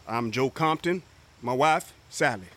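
Lively, folksy Southern homesteader. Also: male voice, mid-range voice, unhurried. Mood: friendly. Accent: American South. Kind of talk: radio drama acting.

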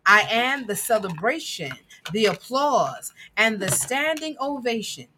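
A woman reading a picture book aloud with emphatic, dramatic phrasing and deliberate pauses. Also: a little high, sing-song, pushed and bright, slight background noise. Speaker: guest reader and producer.

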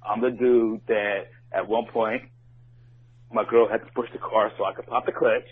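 A man's voice: lowish voice, steady tone, normal speed, slightly rough. A man leaving a casual, rambling voicemail as a listener over the phone. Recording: muffled narrowband phone voicemail.

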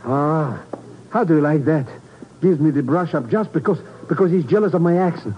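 An actor playing a broke, greedy comic sidekick in a 1950s radio drama, stammering and wheedling. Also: male voice, on the high side, moderate pace, a little hiss or hum, natural voice. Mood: indignant. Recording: muffled 1950s radio recording with music.

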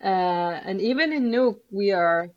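A woman's voice: mid-range voice, expressive, a little slow, muffled recording. A woman from Greenland with a Greenlandic accent, an interview guest speaking earnestly and plainly about her home. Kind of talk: conversational interview.

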